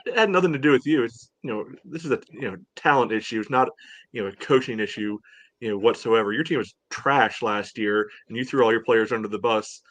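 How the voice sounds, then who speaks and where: A man's voice: medium pitch, a little fast, dry recording. A man co-hosting a casual soccer podcast, blunt and sweary, often padding his sentences with "you know". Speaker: sports podcast co-host. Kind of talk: casual fan chat about soccer.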